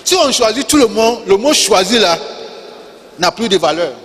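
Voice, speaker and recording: A man's voice: high-pitched, animated, moderate pace. Preaching man in a reverberant church. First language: not French. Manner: call-and-response preaching, repeats key words, rises to shouts. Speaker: pastor. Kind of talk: sermon. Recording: long reverb of a church hall.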